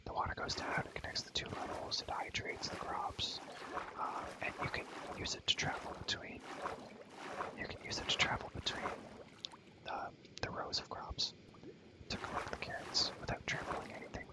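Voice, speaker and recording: A young man whispering in a casual ASMR game tour, breathy and slangy, softly repeating his phrases. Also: normal speed. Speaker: video creator showing a game world.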